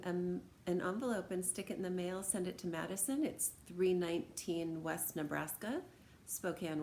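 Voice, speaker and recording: A woman's voice: fairly low pitch, gravelly, darkish tone, a little hiss or hum. An American woman teacher talking informally and encouragingly to students as she reads aloud and demonstrates things. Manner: encouraging, conversational.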